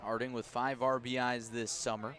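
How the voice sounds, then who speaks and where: A man doing live radio baseball play-by-play, describing each pitch in detail and filling in with player stories. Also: moderate pace. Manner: descriptive, storytelling between pitches, rising to excited calls on big plays.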